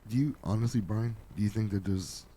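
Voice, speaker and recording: A man's voice: fairly low pitch, brisk, warm tone, slight background noise. A man hosting an interview, asking blunt questions and joking casually. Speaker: host.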